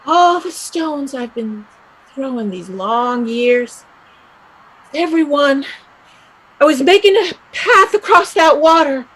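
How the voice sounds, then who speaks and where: A woman's voice: high-pitched, expressive, slow, fairly bright tone, heavily acted, rough recording. A woman acting a restless, dreamy and bitter young Irish emigrant in a play reading, with an emphatic, lyrical delivery. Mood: rapt, dreamy.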